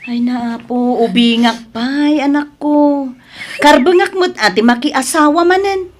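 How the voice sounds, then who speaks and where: A woman's voice: high-pitched, a little slow. A woman playing an emotive middle-aged mother in a melodramatic audio drama, exclaiming as she talks. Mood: soothing. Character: middle-aged mother, doting on suitor, scolding daughter.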